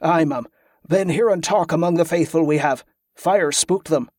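A man's voice: a little high, moderate pace, a little mellow, dry recording, studio quality, close to an everyday voice. A man playing a grave, deferential male soldier who gives terse reports in inverted dialect phrasing, in a fantasy audiobook. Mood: uneasy.

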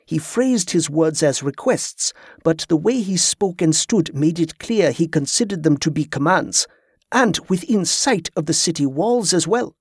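A man voicing a thoughtful, formally spoken traveller in a fantasy audiobook, with a measured, curious manner. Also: fairly high pitch, animated, slightly rough, dry recording, studio quality, exaggerated voice. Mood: curious, reflective.

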